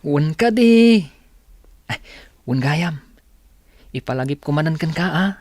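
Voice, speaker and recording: A man playing an earnest, polite young student and dutiful older brother in a radio drama, speaking gently. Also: fairly high pitch, animated, measured pace, no echo, studio quality. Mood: caring, polite.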